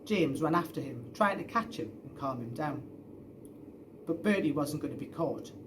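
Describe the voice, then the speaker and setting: A man's voice: fairly high pitch, animated, normal speed, background noise. A man reading a children's story aloud expressively, as a storyteller. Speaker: story reader.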